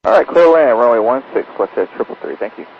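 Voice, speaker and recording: A man's voice: medium pitch, lively intonation, fast talker. A man making pilot calls over a cockpit radio. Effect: cockpit radio transmission. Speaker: airline pilot. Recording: muffled, faintly noisy aircraft radio.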